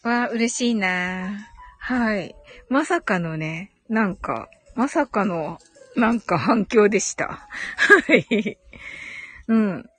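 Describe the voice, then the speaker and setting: A woman's voice: medium pitch, lively intonation, slow, slightly rough, faint music behind. A woman hosting a live audio stream, chatting casually and politely as she reads and answers comments, with frequent agreeing hums and giggles.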